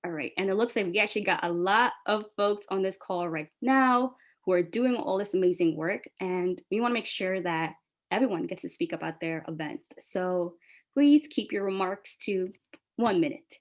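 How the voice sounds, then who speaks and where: A woman's voice: medium pitch, normal speed. A woman from New York City, a Bangla speaker, hosting a press call as an organizer in an enthusiastic, casual, friendly way. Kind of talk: upbeat press call moderation.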